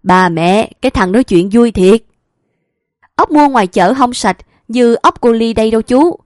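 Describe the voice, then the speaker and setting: A woman's voice: mid-range voice, lively intonation, a little slow, no echo, natural voice. Woman doing a jovial older Southern landowner, blunt and teasing, dry close sound. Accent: Southern Vietnamese. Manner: joking, blunt rustic talk.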